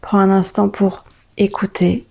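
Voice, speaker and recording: A woman guiding a yoga nidra meditation as the teacher, speaking in a gentle, soothing, unhurried way with long pauses. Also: medium pitch, completely flat delivery, gravelly. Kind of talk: guided meditation.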